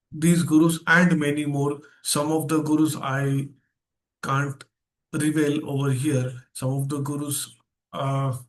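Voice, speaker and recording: A man with an Indian accent leads an online mindset seminar as guest speaker. His voice sits at a medium pitch, and he stresses key phrases. He often puts rhetorical questions to his listeners. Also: low-quality recording. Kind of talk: interactive online seminar talk. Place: online video session.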